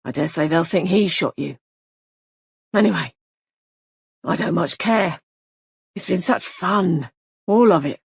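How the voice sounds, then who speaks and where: A mad young killer whose voice turns childlike, menacing and giddy by turns, as a woman reads him. The tone is gravelly and husky, close and dry. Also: on the low side, a little slow, pauses often, no room sound, exaggerated voice. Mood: gleeful.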